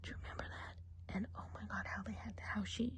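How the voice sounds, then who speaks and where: A woman voicing a gossipy woodland witch in a breathy, confiding whisper for an ASMR roleplay. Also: mid-range voice, fairly even intonation, brisk, lightly acted. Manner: gossipy, confiding, soft-spoken. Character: gossipy woodland witch giving a whimsical eye exam.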